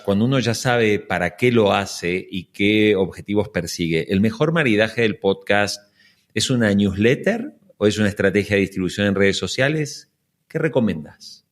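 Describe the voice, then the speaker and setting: A man, interviewing as a podcast host in a measured, conversational way. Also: fairly low pitch.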